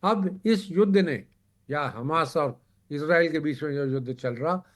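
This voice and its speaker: A man's voice: on the high side, a little slow. A man speaking as a panelist in a televised discussion, emphatic, in short broken phrases with repetition.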